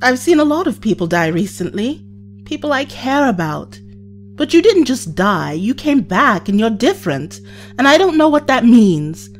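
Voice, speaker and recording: A woman's voice: fairly high pitch, very animated, moderate pace, faint music behind. A woman playing a time traveller's hurt young companion in an audio drama, earnest and reproachful. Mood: upset, pleading.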